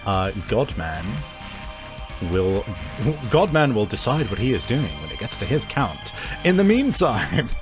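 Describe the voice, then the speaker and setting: A man narrates a tabletop horror game as its game master. His mid-pitched voice moves slowly and haltingly as he improvises. Music is prominent behind him.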